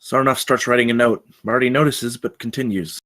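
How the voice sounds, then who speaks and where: A man's voice: medium pitch, fairly even intonation, brisk, sharp tone. A man reading stage directions as scripted narration at a film table read.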